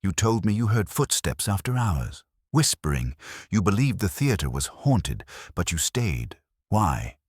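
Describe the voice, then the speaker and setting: A man voicing a commanding male detective, formal, measured and deliberate, laying out a case in a dramatised detective audiobook. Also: low voice, big pitch swings, a little mellow, husky, dry recording, clean studio sound, pitched above the performer's own voice, heavily acted. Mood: probing, grave.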